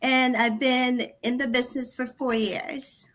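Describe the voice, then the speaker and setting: A woman's voice: high-pitched, level tone, unhurried, a bit bright. A Filipino woman introducing herself plainly on a video call. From Philippines. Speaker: guest. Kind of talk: self-introduction on live stream.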